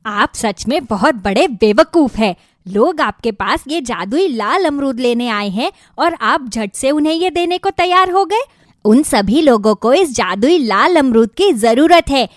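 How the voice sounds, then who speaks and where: A woman's voice: high-pitched, lively intonation, measured pace, no echo, studio quality. A woman playing a greedy, bossy village wife in a cartoon moral story, with an emphatic storybook delivery. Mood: scolding, scheming.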